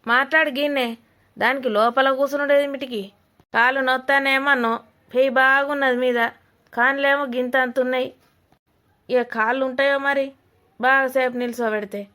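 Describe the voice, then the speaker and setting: A scolding old village grandmother, played by a woman, speaks in a high voice with very little melody. Her Telangana accent is earthy and informal, and she is bluntly mocking in village comedy cartoon style. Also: fairly even intonation, normal speed, long pauses, bright, forceful tone.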